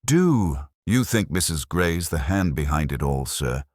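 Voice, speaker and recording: An industrious police sergeant in a mystery story, as a male narrator reads him, reporting respectfully and keenly. The voice sits very low and moves freely in pitch, with a dry sound. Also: sing-song, unhurried, darkish tone, dry recording, heavily acted. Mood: hesitant. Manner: eager, respectful.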